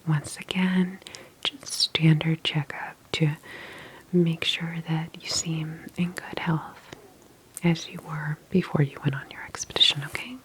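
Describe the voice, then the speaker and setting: A woman doing a soft-spoken ASMR roleplay as a calm healthcare specialist, whispering gently and attentively in a breathy, unhurried, reassuring professional way. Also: deep voice, slight background noise, rough recording.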